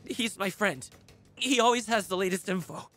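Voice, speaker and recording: A woman's voice: medium pitch, moderate pace, a bit bright, soft background music, lightly acted. A woman voicing a timid, superstitious young junker in a video game, stammering and melodramatic. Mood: nervous. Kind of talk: video game cutscene acting.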